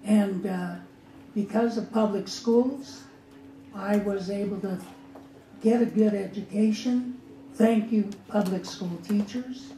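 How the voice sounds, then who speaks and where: A man whose first language is Spanish, an attorney giving earnest public testimony at a hearing, halting now and then to restart. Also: high-pitched, fairly even intonation, warm tone. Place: hearing room. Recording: echoey room with faint background noise.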